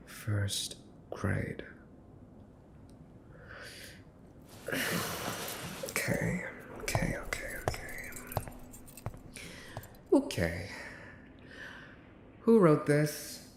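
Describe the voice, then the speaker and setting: Man acting a slow, smug young mafia heir. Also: fairly low pitch, exaggerated voice. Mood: exasperated. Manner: slow, teasing, theatrical drawl with pauses. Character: smug, theatrical young mafia boss's son, easily exasperated. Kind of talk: ASMR boyfriend roleplay acting.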